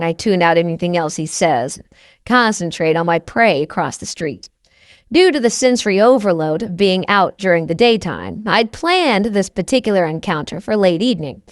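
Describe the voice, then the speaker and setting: A woman narrates an audiobook in the first person, reading scripted prose. Her pitch is mid-range and the recording is dry, with no room sound. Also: dry recording.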